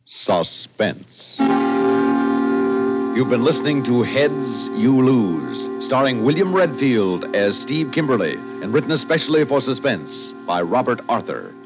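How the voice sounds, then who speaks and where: Animated male vintage radio announcer. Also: mid-range voice, measured pace. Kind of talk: 1950s radio announcing, scripted credits. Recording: dull vintage radio broadcast, music behind. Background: music.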